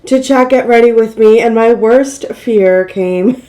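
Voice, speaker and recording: A woman's voice: on the high side, a little slow, mellow, low-quality recording. A woman with a slightly nasal, congested voice, chatting casually and self-deprecatingly as she hosts a get-ready-with-me makeup vlog. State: mildly congested from a cold. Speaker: beauty vlogger, channel host.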